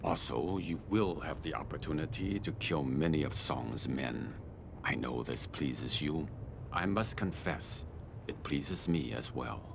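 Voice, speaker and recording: An actor playing a stern, calculating Chinese army colonel in a video game, speaking in a formal, deliberate, haughty manner. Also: male voice, rumbling low, expressive, creaky, noisy background, exaggerated voice. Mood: measured, imperious. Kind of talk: scripted video game dialogue.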